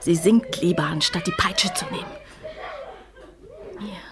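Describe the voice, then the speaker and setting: A woman's voice: on the low side, huge pitch swings, a little slow, background noise. An actress in a German radio drama playing a bitter, jealous, harsh woman who mutters and erupts in agitation. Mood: bitter, agitated. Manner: agitated, muttering then shrilly outbursting.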